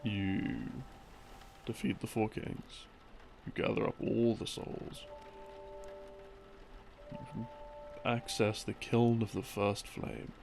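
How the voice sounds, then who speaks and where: A man's voice: on the low side, little pitch movement, slow, vocal fry, long pauses. A man giving a rambling, intimate, casual explanation of lore, with wry, hesitant asides. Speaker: audio creator narrating as a boyfriend explaining game lore. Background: soft game theme music.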